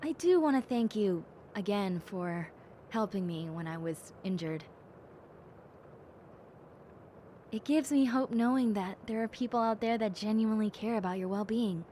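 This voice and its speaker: A woman voicing a gentle, cheerful young bat girl in a soft, playful and sincere audio roleplay. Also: medium pitch, lively intonation, moderate pace, slight background noise, lightly acted. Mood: sincere, tender. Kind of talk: audio roleplay addressed to the listener.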